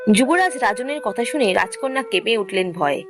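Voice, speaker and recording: A woman's voice: on the high side, lively intonation, moderate pace. A woman narrating a fairy tale from a script as a storyteller, with music behind her. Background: background music.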